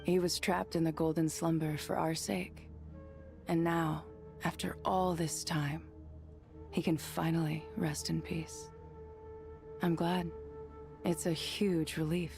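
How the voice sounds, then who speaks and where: Low, creaky stoic young woman. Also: little pitch movement, slow pace, long pauses, darkish tone, faint music behind, heavily acted. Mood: subdued relief. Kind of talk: video game voice acting.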